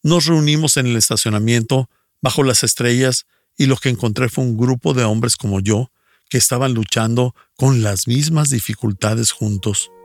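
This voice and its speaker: A middle-aged man delivering a prepared personal testimony in an earnest, measured storytelling way. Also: medium pitch, dry recording. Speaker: church speaker giving a personal testimony.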